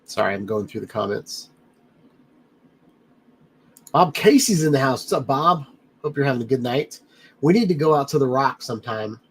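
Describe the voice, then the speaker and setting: A man's voice: mid-range voice, lively intonation, normal speed, long pauses, breathy edge, poor audio. A man hosting a casual livestream, rambling in a friendly way and greeting viewers by name, his nose a little blocked up. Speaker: livestream host reading chat. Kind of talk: casual live chat. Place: home studio.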